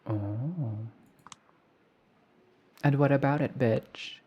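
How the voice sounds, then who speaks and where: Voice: mid-range voice, very slow, breathy edge, dull audio. A video game streamer reading a visual novel aloud and dropping blunt, sweary reactions in a casual let's play.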